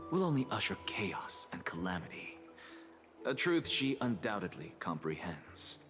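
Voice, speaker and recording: Arrogant scholar type for a fantasy game, lowish voice, super swoopy and theatrical, music under it. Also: male voice. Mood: haughty defiance. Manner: haughty, eloquent, theatrical, formal. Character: arrogant scholar envoy, a man, coolly theatrical and philosophical. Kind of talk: dramatic video game voice acting.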